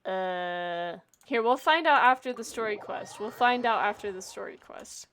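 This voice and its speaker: A woman livestreaming a video game with casual commentary, playful and expressive as she talks and reads dialogue aloud. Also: mid-range voice, measured pace, a little hiss or hum. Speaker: game streamer.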